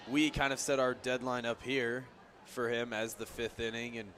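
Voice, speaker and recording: A man's voice: mid-range voice, flat delivery, moderate pace, a bit bright. A man giving analytical color commentary on a baseball radio broadcast in a conversational, explanatory way. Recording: clear outdoor background noise.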